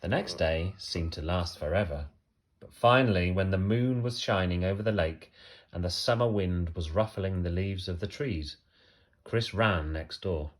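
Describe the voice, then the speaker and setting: A man reading a children's story aloud like a teacher, expressively, with emphatic phrasing and pauses for effect. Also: low-pitched.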